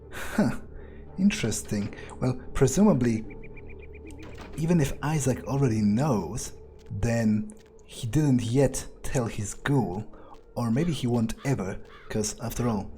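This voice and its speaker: A man playing a game in character, thinking aloud in a halting, musing way with casual swearing. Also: medium pitch, warm tone. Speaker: let's player speaking in character. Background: game music.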